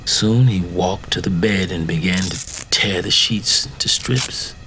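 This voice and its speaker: Deep-voiced man narrating a dark tale. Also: measured pace, slight background noise, poor audio. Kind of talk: dramatic scripted story narration.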